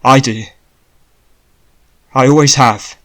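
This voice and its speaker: A man's voice: mid-range voice, steady tone, takes it slowly, fairly bright tone, voice pushed up. A man voicing a desperate, devoted king and husband, urgent and earnest, in an audiobook drama. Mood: insistent.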